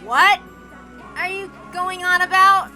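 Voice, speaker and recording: A hot-headed young man as a woman reader puts him on, very high in pitch. He is indignant and aggressive, firing off exclamations and challenges in a dramatic story reading. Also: slow pace, sharp tone, faint music behind, exaggerated voice. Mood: incredulous.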